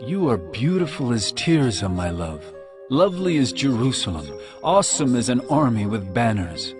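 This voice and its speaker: A man's voice: medium pitch, big pitch swings, normal speed, lightly acted. Guy acting bridegroom wooing his bride, tender poetic scripture drama, music under it. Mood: adoring. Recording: music behind the voice.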